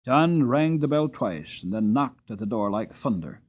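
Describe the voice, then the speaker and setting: A man giving an expressive, dramatic reading of a classic storybook as narrator. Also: mid-range voice, normal speed, dull audio. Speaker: audiobook reader.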